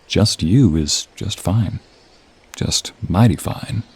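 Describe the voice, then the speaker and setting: Guy acting as a confident flirty office coworker, low dark husky voice, romantic roleplay audio, teasing and intimate. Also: slow pace, full character voice. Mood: playful, flirty. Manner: smooth-talking, teasing, intimate. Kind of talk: romantic audio role play.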